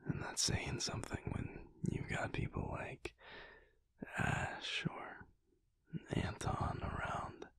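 A young man playing a big, bashful, affectionate werewolf boyfriend in an intimate audio roleplay, speaking softly and haltingly in a husky near-whisper. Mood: tender, earnest. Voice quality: breathy, husky, gravelly. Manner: halting, soft, hesitant with small chuckles.

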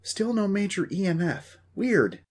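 A man voicing an excitable, pouty young male ghost hunter who blurts things out and is easily spooked, in an audio story. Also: high-pitched, unhurried, slightly rough, pitched above the performer's own voice, exaggerated voice. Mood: eager.

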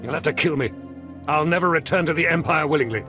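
An actor playing a weary, idealistic, defiant scientist in a video game, with a dramatic, sardonic, impassioned delivery. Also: male voice, on the high side, fast, over music, close to an everyday voice. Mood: bitter, impassioned. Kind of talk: video game character dialogue.

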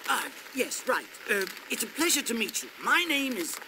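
Flustered, very animated knight. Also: male voice, very high-pitched, normal speed, background noise. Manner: hesitant, deferential, earnest. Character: flustered, polite, portly middle-aged male knight. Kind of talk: video game voice acting. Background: music.

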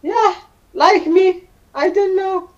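A man's voice: very high voice, takes it slowly, a little mellow. Young man on dull lo-fi webcam. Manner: mocking, insistent, calls people bro. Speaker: random video chat stranger. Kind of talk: casual video chat.

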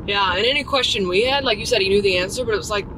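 A woman's voice: mid-range voice, racing, noisy background. An American woman chatting informally and upbeat as co-host of a casual travel vlog.

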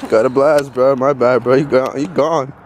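A man's voice: mid-range voice, steady tone, normal speed, a little hiss or hum. An eighteen-year-old man vlogging casually with friends, joking, laughing and using a lot of slang, filmed on a handheld camera. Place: gym and outdoors at night. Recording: loud music over the talk.

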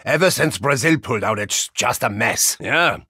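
A man playing a brash, violent, childlike soccer-hooligan agent in a video game, boisterous and swinging between muttering and yelling. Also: medium pitch, lively intonation, normal speed, breathy edge, no room sound, studio quality, full character voice. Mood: dry, casual.

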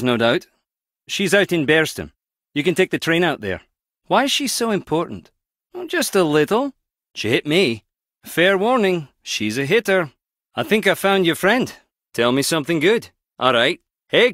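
An actor playing a wry, guarded skip tracer in a video game, teasing yet protective, speaking in a clipped, conversational way. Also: male voice, fairly high pitch, fairly bright tone, no echo, clean studio sound. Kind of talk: video game character dialogue.

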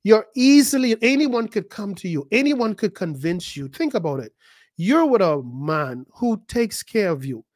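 A man, a pastor preaching a sermon, emphatic and repeating words, his pitch jumping high at key points. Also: big pitch swings, a little slow, a little mellow, no echo, studio quality. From the Bahamas. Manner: repeats words and acts out quoted voices.

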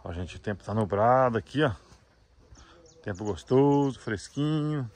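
A man's voice: mid-range voice, lively intonation, unhurried, darkish tone. A man chatting casually to viewers as a vlog host, in a folksy way full of little check-in tags. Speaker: vlog host filming a visit. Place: visit to a rural house and its yard.

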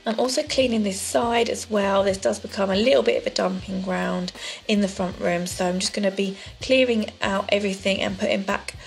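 A woman's voice: medium pitch, fairly even intonation, brisk, creaky. A woman, chatting casually through a clean-with-me voice-over, with lots of ums. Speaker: vlogger. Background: soft background music.

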